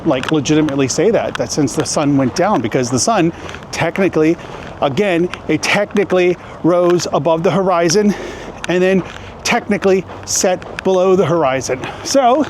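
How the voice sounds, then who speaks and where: A man chatting casually as a travel vlogger in a joking, playful way, filming outdoors and in shops on a handheld camera. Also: on the high side. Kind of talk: casual vlog narration. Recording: outdoor street noise.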